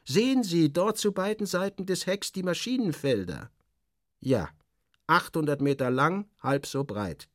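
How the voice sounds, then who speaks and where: A man reading an audiobook and voicing a stiff, evasive official who explains things in a brisk, officious, emphatic way. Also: a little high, lively intonation, fairly bright tone, dry recording, studio quality, voice pushed up. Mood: brisk, informative. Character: stiff, evasive male official guiding a visitor.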